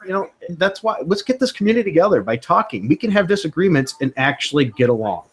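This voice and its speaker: A man's voice: a little high, sing-song, quick pace. A man hosting a casual online chat about comics, talkative and encouraging, heard over a remote call mic. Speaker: host of a comics chat stream.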